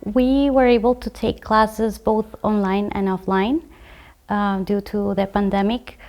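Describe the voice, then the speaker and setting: Even-toned woman from Guatemala. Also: mid-range voice. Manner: measured, with frequent fillers and restarts. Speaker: graduate student. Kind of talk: conversational program overview.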